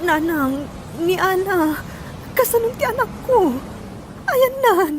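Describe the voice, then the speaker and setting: Woman acting a shrill, high-pitched scolding mother. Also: animated, slow pace, background noise, exaggerated voice. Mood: worried. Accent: Ilocano. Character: harsh, scolding mother of a schoolgirl, later frantic with worry. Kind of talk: Ilocano radio drama acting. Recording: music under the voice.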